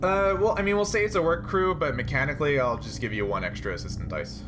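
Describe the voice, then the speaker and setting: A man's voice: a little high, fast talker, noisy background. A man running a tabletop role-playing game, explaining rules and narrating in a conversational way. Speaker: game master.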